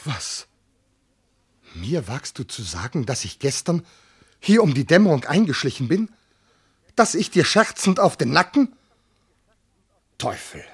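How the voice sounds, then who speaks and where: An actor playing a proud, distraught husband and commander in a classical verse radio drama, speaking in formal declamation that swings from hushed to outraged. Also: male voice, fairly high pitch, very animated, a little slow, pauses often, slightly rough, heavily acted. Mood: indignant disbelief.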